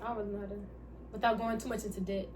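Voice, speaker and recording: A woman's voice: mid-range voice, flat delivery, very fast. A woman chatting casually during a mukbang, rambling and losing her train of thought. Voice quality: light, somewhat feminine voice. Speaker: vlogger answering couple questions. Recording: distant mic, faint hiss.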